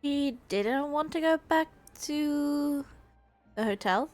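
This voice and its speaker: A woman's voice: high-pitched, takes it slowly, faint music behind. A woman streaming a game, giving casual commentary in short, reactive interjections.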